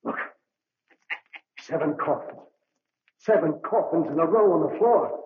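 A jumpy male crook with a high voice that swings widely in pitch. He stammers nervously, in a roomy, muffled vintage recording. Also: animated, measured pace. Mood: awed dread. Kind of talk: old-time radio drama acting. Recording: roomy, dull, noisy old radio recording.

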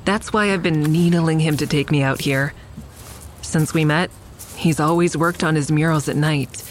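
A woman's voice: low voice, steady tone, moderate pace, slight background noise, lightly acted. An actress playing a curious young woman who narrates her story in an intimate, wry way in an audio drama. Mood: confiding. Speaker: narrator and lead character. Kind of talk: audio drama first-person narration and natural dialogue. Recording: faint music behind the voice.

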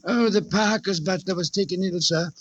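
An actor playing a deferential, fussy butler in 1940s comic radio style, speaking with formal, servile politeness. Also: male voice, high-pitched, normal speed, a little hiss or hum, lightly acted. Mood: polite concern. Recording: dull vintage 1940s radio recording.

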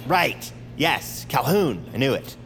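An actor playing a tense detained assassin, halting and pleading with strained politeness, in an audio drama. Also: male voice, mid-range voice, sing-song, a bit bright, slight background noise, full character voice. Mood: anxious pleading. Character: tense, desperate detained male assassin insisting he is sane.

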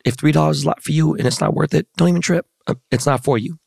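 A hoarse man hosting a podcast in casual chat, talking in slangy, profane, hyped-up street style. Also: on the high side, quick pace, darkish tone, dry recording, studio quality. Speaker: podcast host.

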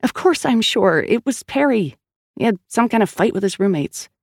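Brief: a narrator voicing an agitated woman detective, audiobook, higher voice, dry close sound. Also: lively intonation, normal speed, no room sound. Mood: insistent. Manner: insistent and agitated. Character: tense, stubborn woman police detective. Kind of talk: audiobook character voice.

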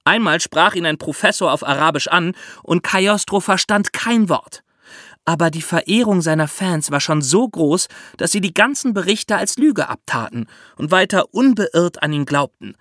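A man's voice: sits high, expressive, moderate pace, a bit bright, no room sound, clean studio sound. A man hosting a podcast, telling history in a conversational storytelling style. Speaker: podcast host.

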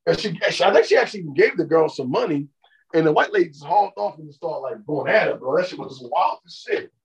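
A man co-hosting a podcast, chatting casually and profanely and telling animated stories. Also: on the high side, fast talker, highs cut off. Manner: animated, swears freely, storytelling. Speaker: podcast co-host.

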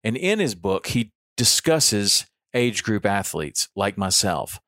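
A man's voice: lowish voice, sing-song, takes it slowly, a bit bright, no room sound, clean studio sound. A man in his fifties hosting a podcast, talking casually on his own with frequent ums and restarts. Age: 50s. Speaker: podcast host. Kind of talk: casual solo podcast monologue.

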